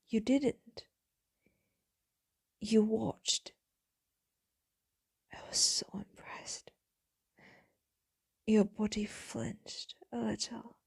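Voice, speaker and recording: A woman playing a devoted, melancholy ageless vampire lover in an intimate, breathy ASMR roleplay monologue. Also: mid-range voice, a little mellow, no room sound, heavily acted. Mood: hushed, reminiscing. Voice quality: breathy, whispery. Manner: slow, intimate, tender, breathy delivery.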